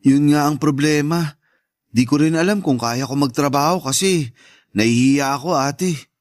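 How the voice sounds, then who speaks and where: A man plays a shy Filipino teenage brother in a radio drama. His voice sits fairly high and is recorded dry and close. He is meek and gentle, always taking the blame with quiet resignation. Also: normal speed, dry recording, close to an everyday voice. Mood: unsure. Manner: meek, self-deprecating. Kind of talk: Tagalog radio drama acting.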